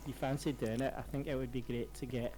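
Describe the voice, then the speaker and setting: A young man chatting over a game stream at a middle pitch. He rambles, piles up fillers and repeats himself while telling a story. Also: flat delivery, fast talker, dark tone.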